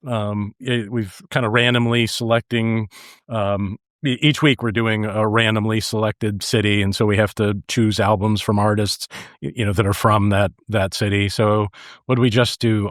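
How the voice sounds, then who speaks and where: A man's voice: lowish voice, fairly even intonation, measured pace, no echo, clean studio sound. A man speaking casually as a podcast guest.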